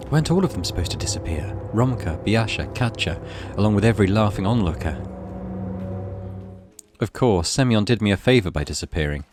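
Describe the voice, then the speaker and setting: Male narrator, low register, highly expressive, suspenseful horror game reading over music. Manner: theatrical, suspenseful. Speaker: gaming channel host reading aloud. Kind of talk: dramatic scripted narration of a horror visual novel.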